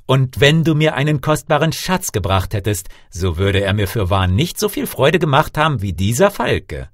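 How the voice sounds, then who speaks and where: A man voicing an excitable, theatrical fairy-tale king in an audiobook reading. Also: mid-range voice, normal speed, no echo, clean studio sound, voice pushed up. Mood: delighted. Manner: theatrical, exclamatory, loud when alarmed. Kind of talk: storybook character voice in audiobook reading.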